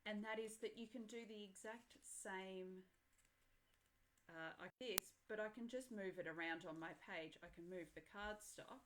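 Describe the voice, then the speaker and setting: A woman's voice: medium pitch, normal speed, fairly bright tone, slight background noise, poor audio. A woman guest demonstrating papercrafts on a live online show, chatty and easygoing, thinking aloud as she works. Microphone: headphones in, voice comes out soft.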